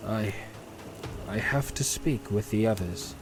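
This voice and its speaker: A man's voice: on the low side, takes it slowly, over music, pitched above the performer's own voice. A man voicing an earnest, weary half-elf quest leader with a grave, serious manner in a fantasy audio story. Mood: solemn, resolute.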